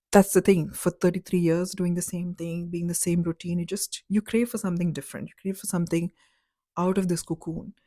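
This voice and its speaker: A woman's voice: on the low side, mellow, no echo, studio quality. A woman in her thirties from Dubai, telling her personal story in a candid, reflective, conversational way. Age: 30s. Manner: candid, reflective, conversational with fillers like "like" and "you know". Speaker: vlogger.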